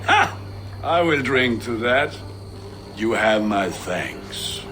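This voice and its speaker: An actor playing a hearty, boisterous, loyal Viking comrade in a video game. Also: male voice, medium pitch, very animated, slow pace, bright, forceful tone, exaggerated voice. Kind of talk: video game cutscene acting.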